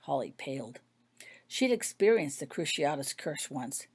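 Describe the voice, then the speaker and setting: A woman's voice: sits low, sing-song, measured pace, slightly rough. A woman reading her own fiction aloud as scripted story narration.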